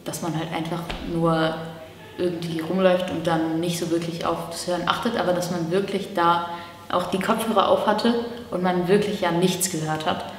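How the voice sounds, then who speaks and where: An eighteen-year-old woman from Germany chats through her answers in long, filler-laden sentences. Her voice is slightly low for a woman. She sounds a little distant from the mic, in a bare room with strong echo. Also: little pitch movement, normal speed, slight background noise. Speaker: camp participant. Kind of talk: casual interview answers. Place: indoor room against a bare wall. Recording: distant, echoey room with reverb.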